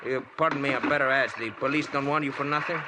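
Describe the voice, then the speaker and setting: An actor playing a friendly, cautious yacht bosun with polite, hesitant, broken English in a 1940s radio drama. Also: male voice, medium pitch, steady tone, fast, close to an everyday voice. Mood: wary, polite. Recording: very noisy vintage radio recording, water sounds.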